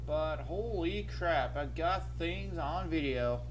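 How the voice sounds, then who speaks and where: A man chatting casually in a halting, repetitive way while recording a group video call. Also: fairly high pitch. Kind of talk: casual chat. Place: indoors at a computer on a video call. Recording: noisy video call with clicks.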